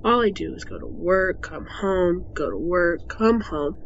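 A woman's voice: lowish voice, measured pace, vocal fry. An actress playing a weary, unhappy young woman in an audio drama, hesitant and exasperated. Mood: downcast, defensive. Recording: dull, slightly noisy recording with ambience.

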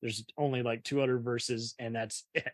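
A man's voice: medium pitch, level tone, moderate pace, no room sound, clean studio sound. A man hosting a casual interview, rambling through his opinions like a keen film buff.

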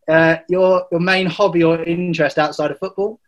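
A man's voice: on the high side, fairly even intonation, unhurried. A man with a Welsh accent hosting a podcast, joking through his introductions and asking questions with a few hesitations. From Wales. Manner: jokey, hesitant with fillers. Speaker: podcast host. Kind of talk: casual podcast chat.

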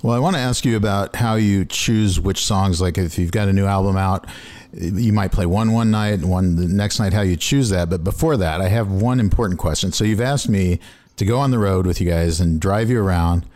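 Male interviewer, lowish voice, relaxed chatty questioning of a familiar guest. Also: moderate pace. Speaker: interviewer and road driver. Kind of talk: casual chat interview.

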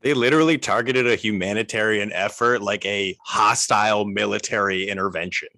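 A man's voice: lowish voice, fairly even intonation, a little fast, pushed and bright, no echo, studio quality. A man co-hosting an interview podcast, blunt and sarcastic, with casual swearing. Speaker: podcast co-host.

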